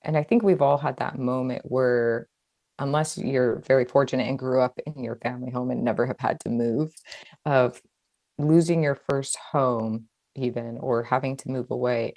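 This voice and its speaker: Female author from Hawaii, interview guest. Very low-pitched voice, chatty and expressive delivery, dry close sound. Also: moderate pace, gravelly, no room sound. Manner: chatty, expressive, laughs easily. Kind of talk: casual conversational interview about own writing.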